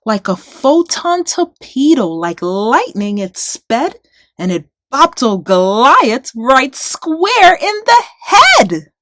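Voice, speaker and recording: A woman's voice: high voice, very animated, takes it slowly, a bit bright, dry recording, studio quality. A woman storyteller reading a rhyming children's book aloud expressively.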